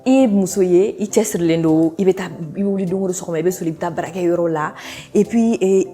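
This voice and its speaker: A woman's voice: on the low side, brisk, mellow, faint music behind. A woman with a Malian accent, chatting effusively and full of compliments as the host of a casual celebrity cooking show. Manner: effusive, complimentary, often laughing. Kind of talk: casual celebrity chat interview.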